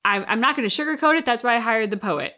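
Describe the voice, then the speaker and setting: Woman reaction co-host, bright forceful voice, chatting casually and making her points with emphasis. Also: on the high side, lively intonation, fast talker.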